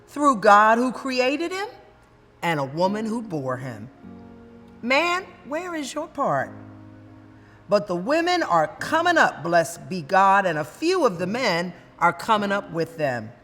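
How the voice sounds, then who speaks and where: A woman voices a bold, witty older woman orator who preaches with rising fervor. The voice climbs high and rings out loudly. A long reverb and faint music hang around her.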